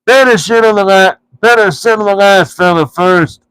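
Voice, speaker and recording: Squabbling storybook trolls, brutish and quarrelsome, their voices pushed up high and loud in a rough common way, as a man reads them out. The lines snap back and forth in a dry, close room. Each one sounds ready to shout the other down. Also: moderate pace, no echo, low-quality recording.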